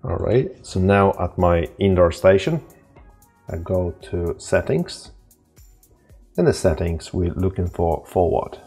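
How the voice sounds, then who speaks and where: A man presenting an informal, unscripted software tutorial, explaining step by step in a halting way. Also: low-pitched, animated, vocal fry, husky, faint music behind.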